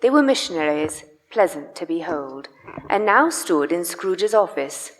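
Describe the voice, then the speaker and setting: A woman's voice: fairly low pitch, sing-song, unhurried. A woman narrating a scripted story dramatically for a live radio play. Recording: live in echoey church hall.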